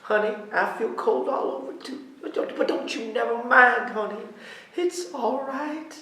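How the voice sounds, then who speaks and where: A man voicing a mother who hurriedly and softly reassures her little girl. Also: very high voice, measured pace, far from the mic, voice pushed up, heavily acted. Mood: soothing. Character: soothing mother comforting a small daughter. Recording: very echoey room.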